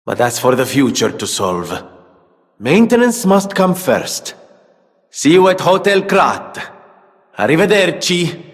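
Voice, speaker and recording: A man's voice: on the high side, sing-song, takes it slowly, pauses often, exaggerated voice. An actor playing a wealthy, vain inventor and philanthropist in a video game, with pompous, flowery speech that turns hesitant when he is flustered. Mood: troubled, earnest. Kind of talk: theatrical video game voice acting. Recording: long reverberant hall.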